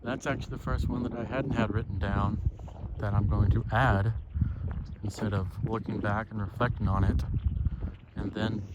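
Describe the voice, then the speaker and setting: A man's voice: fairly low pitch, little pitch movement, normal speed, lo-fi sound. A man thinking aloud in an unscripted, rambling, reflective way while walking outdoors. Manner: musing, rambling, often checking himself with "right?". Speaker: solo audio diarist. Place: outdoors, walking on a levee at sunset. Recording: very noisy outdoor ambient recording. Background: loud outdoor ambience, birds.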